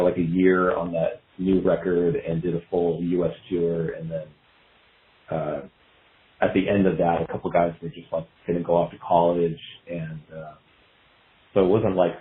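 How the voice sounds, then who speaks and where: Male interview guest, very low voice, casual and meandering, roomy lo-fi recording. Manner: hesitant, meandering, filler-heavy. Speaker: guest musician, guitarist. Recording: dull lo-fi roomy call sound.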